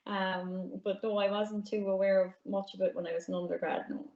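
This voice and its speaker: Young Irish woman, interview guest, soft-spoken, many ums, even tone, echoey. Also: mid-range voice, fairly quick. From Ireland. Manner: soft-spoken, with frequent ums and light laughs. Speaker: guest, music PhD student. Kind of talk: casual interview answers. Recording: roomy, dull remote audio.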